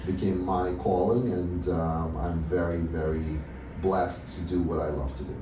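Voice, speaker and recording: A man from Russia speaks in a very deep voice, answering questions in a halting way with many "you know"s and restarts. He sounds set back from the mic in an echoey room. Steady background noise runs under his voice. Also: far from the mic. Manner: hesitant, many fillers and restarts. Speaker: dentist, interview guest. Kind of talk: casual interview answers.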